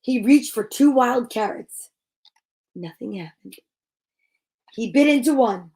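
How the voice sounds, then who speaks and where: Slow, theatrical woman storyteller, higher-pitched. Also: lively intonation, dark tone. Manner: theatrical, suspenseful, drawn-out delivery with exclamations. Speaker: storyteller reading a children's picture book. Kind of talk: dramatic children's story read-aloud.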